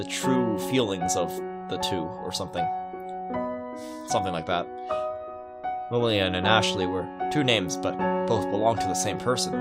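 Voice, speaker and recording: A man playing a visual novel, reading it aloud and musing with casual asides. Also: lowish voice. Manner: musing, reacting aloud to the story. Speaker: let's player. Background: game music.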